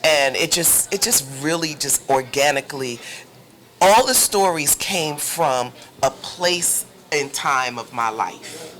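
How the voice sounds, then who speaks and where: A woman telling personal stories as an interview guest, with halting, repetitive phrasing. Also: low-pitched, animated, fairly bright tone.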